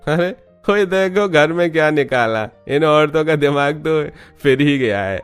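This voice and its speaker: Guy doing a mocking cartoon village husband, higher clear voice, taunting, music behind. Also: animated, measured pace. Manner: taunting, scornful. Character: jeering drunken village husband mocking women.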